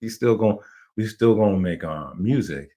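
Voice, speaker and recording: A man's voice: lowish voice, expressive, normal speed, mellow. A middle-aged man from Jamaica, raised in New York, telling stories as a guest in a casual interview, enthusiastic and rambling. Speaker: playwright, guest.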